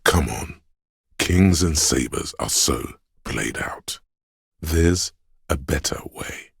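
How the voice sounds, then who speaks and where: A snobby artsy inner voice for a game, super deep n really husky, theatrical, scornful. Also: male voice, lively intonation, no room sound, exaggerated voice. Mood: coaxing, disdainful. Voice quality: gravelly, husky. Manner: pompous, deliberate, theatrical. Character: pompous, erudite inner voice of artistic imagination, scornful aesthete. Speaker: video game voice actor.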